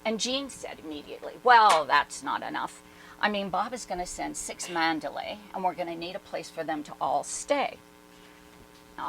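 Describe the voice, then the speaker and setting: A woman's voice: on the low side, vocal fry, a bit bright, a little hiss or hum, low-quality recording. A woman performing a dramatic reading as a brash, independent-minded woman recalling her past in emphatic, conversational asides. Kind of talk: dramatic reading, animated first-person storytelling.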